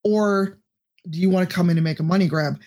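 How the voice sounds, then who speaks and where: A woman with a deep voice, a podcast guest and streamer giving blunt, profane advice in casual chat. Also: fairly quick, a crowd in the background.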